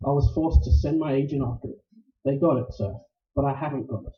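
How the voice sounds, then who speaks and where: An actor playing a suave, scheming, treasure-obsessed villain in old radio style, speaking in a formal, unctuous, wordy manner. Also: male voice, medium pitch, fast talker, natural voice. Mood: smooth, grandiose. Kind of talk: 1940s radio acting. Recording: dull lo-fi sound in echoey room.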